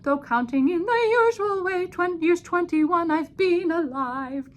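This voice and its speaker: An earnest young pirate apprentice as a woman plays him, bemused and quaint, in a puppet-show operetta. The voice is very high and singsong, with wide swoops. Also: expressive, a little slow. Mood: bemused singing.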